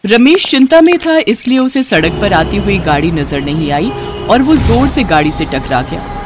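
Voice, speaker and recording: A woman's voice: mid-range voice, sing-song, normal speed, loud music behind the voice. A woman narrator reading a scripted moral bedtime story for children.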